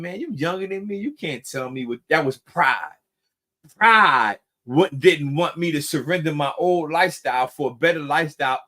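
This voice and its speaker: A man's voice: fairly high pitch, fairly bright tone. Middle-aged guy running a recovery group, preachy, says y'all, repeats himself to drive points home. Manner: preachy, repeats phrases for emphasis; addresses listeners as y'all. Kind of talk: motivational talk.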